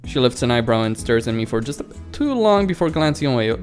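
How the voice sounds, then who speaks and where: A man's voice: mid-range voice, sing-song, fast talker, darkish tone. A man hosting a let's play, reading visual novel narration aloud as a story, sometimes stumbling over words. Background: faint music.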